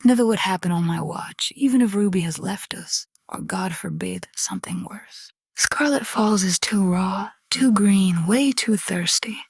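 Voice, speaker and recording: A woman's voice: medium pitch, unhurried, hardly any pauses, slightly rough, no echo, studio quality. A woman playing a proud, irritated, slangy nightclub owner in a noir radio drama. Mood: indignant, suspicious. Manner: sassy, slangy, commanding. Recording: loud music under the voice.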